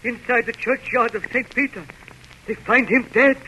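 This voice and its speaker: High-pitched, shaken man. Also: moderate pace, bright, forceful tone. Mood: horrified. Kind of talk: 1930s radio drama acting. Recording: noisy old radio broadcast.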